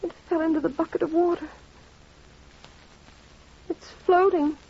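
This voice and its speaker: A mourning parent cries in an extremely high voice. The delivery is slow and distraught, in radio-drama style on a muffled old recording. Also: female voice, fairly even intonation. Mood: sobbing grief.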